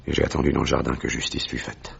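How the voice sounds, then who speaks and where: A man's voice: a bass voice, little pitch movement, vocal fry, fairly bright tone, a little hiss or hum, full character voice. A man acting a grim, righteous explorer who takes revenge, with grave, theatrical delivery in a French radio drama. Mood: grave, resolute. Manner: grave, deliberate, theatrical confession with bursts of fury. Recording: dull, lo-fi old radio recording.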